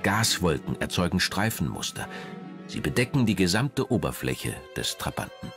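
The low, expressive male voice that guides viewers through a late-night television documentary, music underneath. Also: moderate pace, creaky. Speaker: documentary narrator. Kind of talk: scripted narration.

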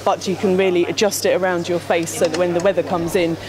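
A woman's voice: on the low side, flat delivery, fast. A woman in her thirties explaining products at a trade show stand, talking with many fillers and restarts into a handheld mic. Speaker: company representative. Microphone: handheld mic held by interviewer. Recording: crowd chatter behind.

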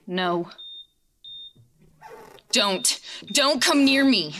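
A woman playing a frightened, traumatised captive in an audio horror drama, halting and pleading. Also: mid-range voice, lively intonation, sharp tone, a little hiss or hum, exaggerated voice. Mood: frightened defiance.

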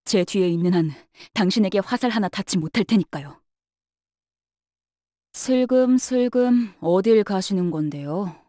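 A woman voicing a brisk young female knight-bodyguard in a game, polite but curt, with a faintly sardonic, matter-of-fact delivery. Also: medium pitch, animated, dark tone, no room sound, studio quality, natural voice. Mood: firm, alert. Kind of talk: scripted game character voice lines.

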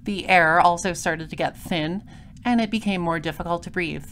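A woman's voice: on the low side, moderate pace, fairly bright tone, a little hiss or hum, lightly acted. A woman playing a composed, droll space detective, narrating an audio drama in a dry, matter-of-fact way.

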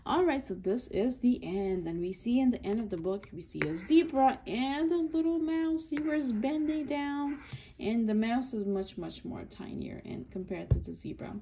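A woman's voice: fairly high pitch, animated, normal speed, dark tone, slightly rough. A woman reading a picture book aloud for children in a casual, explanatory way, often checking in with small tag questions. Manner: simple explanatory talk, checking with "okay?" and "right?". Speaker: children's read-aloud video host.

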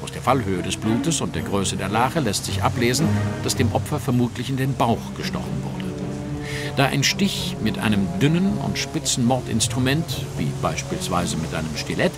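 A brilliant Victorian detective in an audio drama. The voice is on the low side and very animated, analytical and commanding, with music behind it. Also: male voice. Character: brilliant, brisk, self-assured male Victorian detective. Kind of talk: German audio drama acting.

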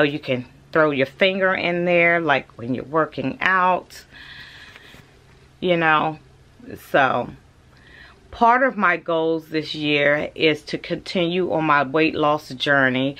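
A woman's voice: low voice, pushed and bright. A woman, chatting casually to camera as a vlogger and calling her viewers "y'all". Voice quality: raspy.

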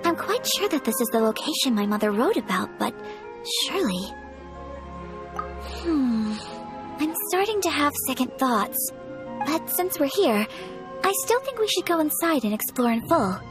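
Poised young noble lady in a game, high soft polite voice, faint music under it. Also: very animated. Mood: puzzled. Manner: formal, gentle, measured. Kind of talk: video game voice acting.

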